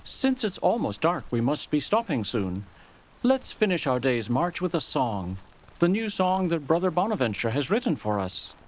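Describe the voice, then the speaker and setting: A man voicing a cheerful, devout young Japanese boy captive in a storybook tale, gentle and animated. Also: fairly high pitch, moderate pace, pitched above the performer's own voice. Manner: gentle, animated, singing at times.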